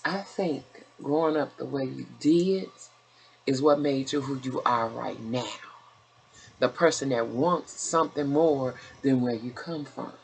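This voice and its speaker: Lady in her 50s, raspy voice w lots of fry, blunt casual interviewer. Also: a bass voice, measured pace, a little mellow, rough recording. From Chicago, United States. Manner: blunt, familiar, encouraging. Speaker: interviewer and host.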